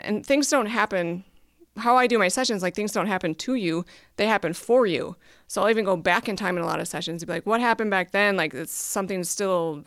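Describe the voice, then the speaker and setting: A woman's voice: medium pitch, a bit bright, smooth, dry recording, studio quality. A woman hosting a podcast who chats casually, rambling enthusiastically and laughing often as she explains her work as an astrologer. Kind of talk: casual conversation.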